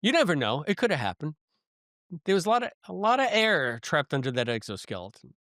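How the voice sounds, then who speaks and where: A man's voice: a little high, very animated, brisk, fairly bright tone, no room sound, studio quality. A man co-hosting a review podcast in a casual, wry and jokey way. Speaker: podcast co-host.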